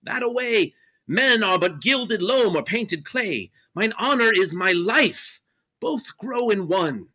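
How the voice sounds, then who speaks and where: A man's voice: high voice, lively intonation, slow pace, bright, forceful tone, exaggerated voice. A man voicing a proud, wronged nobleman in a Shakespeare reading over a video call, with impassioned, defiant verse delivery. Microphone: video call webcam.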